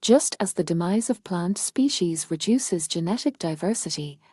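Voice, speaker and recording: A woman's voice: fairly low pitch, lively intonation, normal speed, darkish tone, slightly rough, dry recording, clean studio sound. A woman reading a scripted practice passage aloud for a language test lesson. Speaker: test-prep reader.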